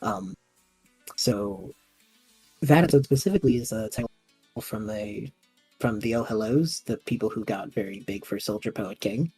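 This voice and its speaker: A man's voice: on the low side, moderate pace, long pauses, dark tone, breathy edge, no room sound, soft background music, poor audio. A man hosting a casual interview, talking in an enthusiastic and thoughtful manner. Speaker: host and audio fiction creator.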